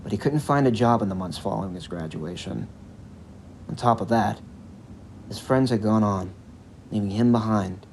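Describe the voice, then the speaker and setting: A man narrating a scripted character backstory as an audio drama, in an expressive, dramatic storytelling manner. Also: on the low side, slight background noise.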